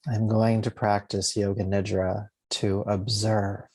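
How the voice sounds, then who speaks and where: A man leading a guided meditation as a yoga nidra teacher, speaking gently and soothingly in spaced, unhurried phrases. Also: lowish voice, flat delivery.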